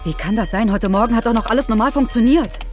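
A woman's voice: a little high, fast talker, over music, close to an everyday voice. An actress playing a tense female astronaut on a Mars base, firing off urgent, alarmed questions. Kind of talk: radio play.

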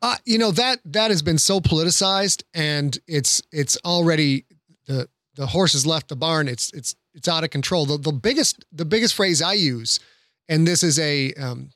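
A man's voice: fairly high pitch, normal speed, fairly bright tone, dry recording, studio quality. A middle-aged man in a casual podcast discussion, explaining things in an earnest, plain-spoken way.

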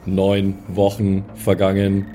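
A man's voice: low-pitched, monotone, slow, dark tone, slight background noise. A man voicing a cold, literal-minded killer robot in an improvised audio drama, with clipped, formal, emotionless phrasing. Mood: flat, analytical.

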